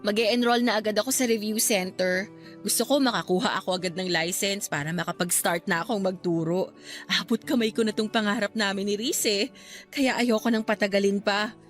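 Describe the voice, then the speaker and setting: A woman's voice: medium pitch, steady tone, brisk, faint music behind, natural voice. A young Filipino woman acting in a radio drama as a devoted graduate grieving her late best friend, earnest and sentimental. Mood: warm, determined. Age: young adult.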